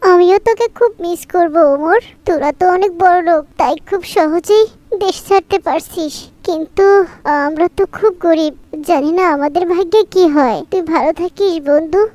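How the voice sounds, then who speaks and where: A woman's voice: extremely high pitch, normal speed, warm tone, no echo. A woman voicing a young girl in a cartoon, tearful and pleading. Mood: sad farewell. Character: young girl Fatema, war victim, grieving. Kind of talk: cartoon voice acting.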